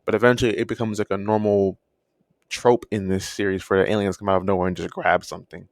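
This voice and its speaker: A man's voice: lowish voice, level tone, quick pace, no echo, clean studio sound. A man with a stutter giving casual, unscripted opinion commentary as a video creator, rambling and often rephrasing himself. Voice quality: stuttering speech. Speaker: video creator reviewing horror animation.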